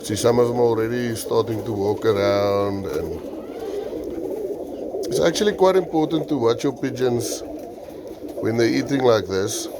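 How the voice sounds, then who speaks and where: A man's voice: mid-range voice, unhurried, long pauses. A man with a South African accent, a native Afrikaans speaker, explains things off the cuff in a casual vlog while tending birds. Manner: unscripted, explanatory. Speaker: pigeon keeper presenting his own video. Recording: noisy, birds cooing nearby. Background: pigeons cooing, clear background noise.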